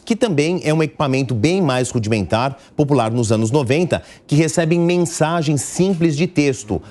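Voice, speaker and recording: A Brazilian man with a slightly higher-pitched voice works as a live news commentator. He explains things methodically, step by step, and leans on important words like a teacher. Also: a little mellow. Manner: didactic, step-by-step, emphasising key words.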